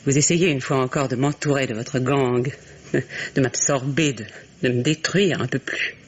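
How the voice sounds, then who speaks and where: An actress playing a bitter, spiteful middle-aged wife in a French radio drama, biting and sardonic. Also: female voice, rumbling low, normal speed, slightly rough. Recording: dull, noisy old radio recording.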